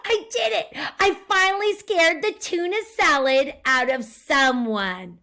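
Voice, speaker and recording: A woman's voice: sits high, measured pace, sharp tone, pitched above the performer's own voice, heavily acted. A woman voicing a small male storybook monster who wants to be scary and boasts gleefully.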